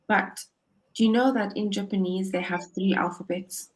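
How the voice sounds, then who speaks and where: A woman's voice: mid-range voice, fairly even intonation, moderate pace, poor audio. A woman tutoring English over a video call, upbeat and encouraging, often laughing as she explains. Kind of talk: casual conversation lesson.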